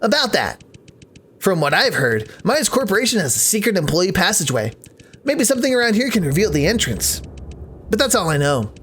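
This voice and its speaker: A man's voice: sits high, big pitch swings, brisk, a bit bright, a little hiss or hum. A man voicing a cheerful, casual janitor in a visual novel, chatty and evasive in a breezy way. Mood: musing.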